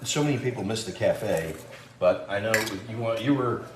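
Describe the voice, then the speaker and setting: A man's voice: lowish voice, lively intonation, normal speed. A middle-aged man hosting a casual live cooking demo, chatting and greeting viewers by name as he works. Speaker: cooking show host. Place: home kitchen. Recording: echoey kitchen with faint noise.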